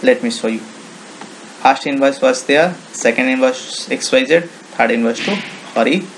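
A man with an Indian accent demonstrating software in an informal screen-recorded tutorial, with non-native English phrasing and frequent repetition. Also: mid-range voice, measured pace. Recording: noisy home-made screen recording.

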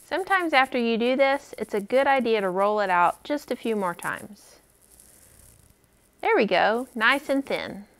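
A woman's voice: a little high, animated, measured pace, fairly bright tone, clear, resonant tone. A woman in her thirties hosting a friendly step-by-step cooking demonstration for children, speaking in simple, encouraging instructions. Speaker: library cooking program host for kids.

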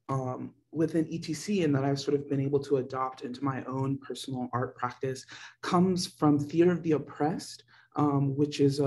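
A man with a somewhat high voice talks about theater with passionate emphasis. He builds his argument through rhetorical questions and sounds deeply invested in it. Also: a little mellow. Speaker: panelist, theater artist. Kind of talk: reflective panel discussion.

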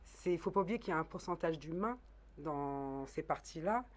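A woman's voice: deep voice, expressive. Young woman debating earnestly in a studio. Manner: earnest, halting, with pauses. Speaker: citizen debater. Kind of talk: televised debate. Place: dark TV studio, seated at a desk. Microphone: desk mic.

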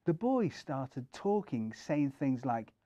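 Male storyteller, middle-aged, mellow tone, dry close sound, expressive reading for children. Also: medium pitch, slow, dry recording, muffled recording. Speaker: story reader.

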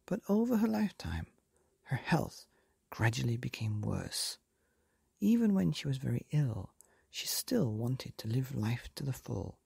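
Male bedtime storyteller, hushed and breathy, gravelly, unhurried, close dry mic. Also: mid-range voice, big pitch swings, no room sound. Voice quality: soft breathy half-whisper. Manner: slow, gentle and lilting. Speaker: storyteller and podcast host.

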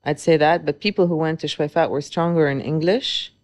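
A woman in her forties, a guest in an interview, talking reflectively with many pauses and restarts. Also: sits low, steady tone, darkish tone. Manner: reflective, with frequent hesitations and restarts. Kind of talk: conversational interview.

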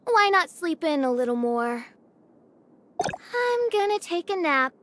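A woman's voice: very high-pitched, big pitch swings, slow pace, fairly bright tone, a little hiss or hum, full character voice. A woman playing a mischievous, bored young female gunslinger in a video game, with a teasing, languid manner. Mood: languid, playful. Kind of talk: video game character voice lines.

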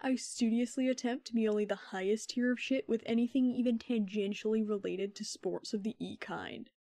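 Wry young man voiced by a woman, highish mellow voice, ironic deadpan wisecracker for audio fiction. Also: brisk, dry recording, natural voice. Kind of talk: fan fiction podcast acting.

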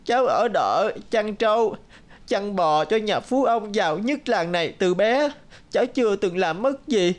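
A woman's voice: medium pitch, lively intonation, unhurried, lightly acted. A woman voicing a small, anxious orphan herd boy in a childlike, plaintive cartoon manner. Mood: sad.

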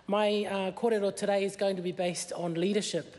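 A woman's voice: mid-range voice, steady tone, a little fast, darkish tone. Tongan-native woman speaking in a debating chamber. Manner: earnest, many fillers, rising emphasis on key points. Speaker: member of parliament. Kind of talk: parliamentary debate speech. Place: large wood-panelled debating chamber. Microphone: desk microphone. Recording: long chamber reverb.

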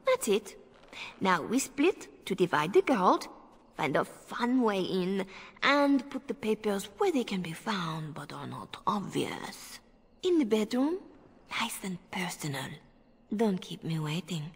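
An actress playing a seductive, commanding spymaster in a video game, with a teasing, possessive, silky manner. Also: female voice, mid-range voice, sing-song, slow pace, breathy edge, heavily acted. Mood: sly, commanding.

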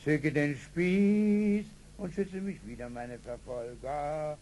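A defiant, fervent psalm singer in a radio drama. He chants boomingly at a drawn-out pace, in a raised male register. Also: mellow, slight background noise.

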